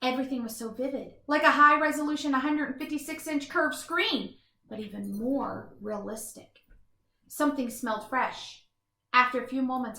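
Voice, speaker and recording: A woman reading a children's picture book aloud in an expressive, animated way with dramatic pauses. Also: high-pitched, reverberant. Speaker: storybook reader.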